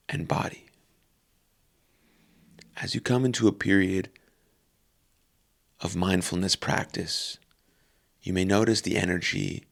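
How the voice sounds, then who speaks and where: A man leading a guided meditation calmly and unhurriedly, in short phrases with long pauses. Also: low voice, creaky.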